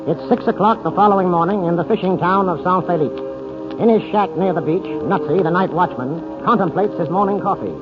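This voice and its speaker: High-voiced radio narrator over music. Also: male voice, brisk. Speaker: announcer and narrator. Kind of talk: 1940s radio drama narration, scripted. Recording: muffled 1940s radio broadcast.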